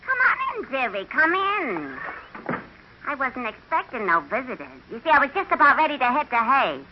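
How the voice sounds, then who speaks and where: Very high-pitched folksy old shack woman. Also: very animated, normal speed. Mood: chatty, homely. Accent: American. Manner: folksy, ungrammatical, drawling. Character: folksy, frowzy older woman living in a shack. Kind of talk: 1940s radio acting. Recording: noisy, muffled old radio recording.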